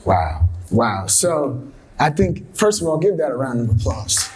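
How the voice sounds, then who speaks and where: A man's voice: mid-range voice, sing-song, unhurried, a little mellow, slight background noise. A man hosting a live interview, speaking in an encouraging, conversational way as he puts questions to his guest. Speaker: host, interviewer. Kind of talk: live interview before an audience.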